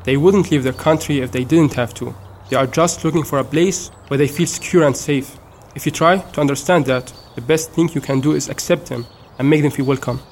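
A young man from Syria, speaking in an earnest, measured way as the one being asked questions. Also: a little high, a little mellow, a little hiss or hum. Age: young adult. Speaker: interviewee.

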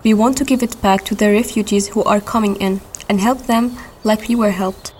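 A woman's voice: mid-range voice, fairly even intonation, normal speed, darkish tone, slight background noise. An eighteen-year-old woman leading a conversation, speaking in a friendly, careful way. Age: 10s. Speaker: interviewer.

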